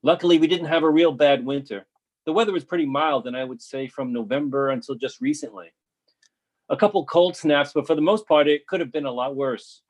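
A man's voice: on the high side, brisk, lightly acted. An actor playing an earnest male storm survivor in a readers theatre monologue, declaiming his lines.

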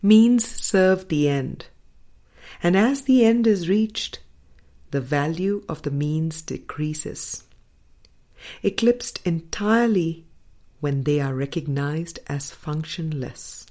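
A low-voiced woman reads a spiritual audiobook at an unhurried pace. Her phrasing is deliberate and measured, with frequent pauses between short phrases. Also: mellow. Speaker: audiobook reader. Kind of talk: scripted spiritual audiobook narration.

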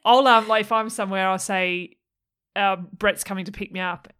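A woman hosting a podcast, chatty and lively, with a fairly low voice. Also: normal speed, clean tone. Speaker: podcast host, athlete. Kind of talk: casual interview chat.

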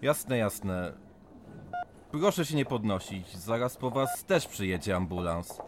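A brusque mine official. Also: male voice, lowish voice, animated, slow. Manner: brusque, dismissive. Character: brisk matter-of-fact male mine official. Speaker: mine official at an accident scene. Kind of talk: radio drama acting. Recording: noisy, distant scene recording.

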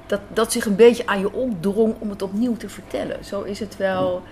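A Dutch-accented woman with a medium-pitched voice talks as an interview guest. She tells things conversationally, hesitating as she looks for words, with some café noise around her. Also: steady tone, moderate pace, a little mellow. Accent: Dutch, from the Netherlands. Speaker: radio drama writer and director, interview guest. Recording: clear café background noise.

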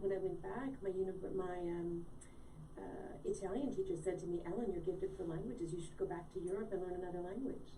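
A woman's voice: fairly low pitch, dark tone. A woman in her late fifties from Connecticut, a wellness coach telling her story in a chatty, storytelling way as a guest on a live show. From Connecticut, USA. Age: late 50s. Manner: chatty, storytelling, quizzes the host. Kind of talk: casual live-show conversation. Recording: echoey room, distant from mic, faint noise.

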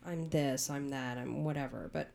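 A woman's voice: extremely low pitch, normal speed, vocal fry, darkish tone, slight background noise. A woman playing a skeptical confidante in a radio play, blunt and probing, asking dry, direct questions. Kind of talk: naturalistic comedic radio play acting.

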